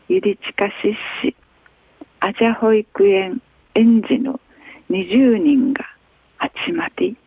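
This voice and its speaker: A woman radio host reading a newspaper article aloud in a slow, measured way, with an Okinawan accent. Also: mid-range voice, creaky, husky, no echo. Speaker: radio news reader. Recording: tinny phone-like radio sound.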